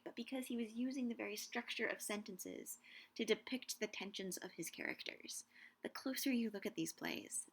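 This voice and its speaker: Woman, young adult, higher pitch, vocal fry, scripted educational narration, measured delivery. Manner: measured, slowing to savor quoted lines. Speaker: vlogger on Shakespeare and books.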